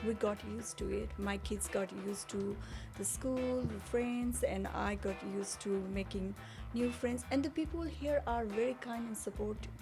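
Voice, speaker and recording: A woman from Nepal, a guest spa owner, talking in a casual interview in an earnest way, often pausing to search for words. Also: a little high, music in the background.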